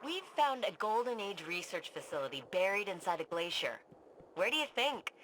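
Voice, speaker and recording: Brisk, confident woman researcher. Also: medium pitch, big pitch swings, fairly bright tone, a little hiss or hum, low-quality recording. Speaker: scientist guiding the player. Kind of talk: video game dialogue.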